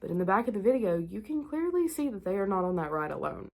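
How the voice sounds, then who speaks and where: A woman's voice: mid-range voice, big pitch swings, quick pace, creaky, a little mellow. A young woman hosting a spooky vlog, sounding stuffed-up and nasal and a bit short of breath, chatting casually with lots of fillers. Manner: chatty, rambling with many "like" fillers. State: congested, nasal from illness. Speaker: spooky-content creator and host. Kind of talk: casual chat to camera.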